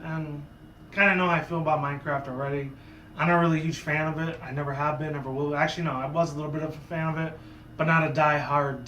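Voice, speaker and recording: A man with a sore, hoarse voice, talking in a casual, rambling commentary vlog full of asides and restarts. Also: on the high side, a bit bright, roomy sound, a little hiss or hum, poor audio. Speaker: YouTuber.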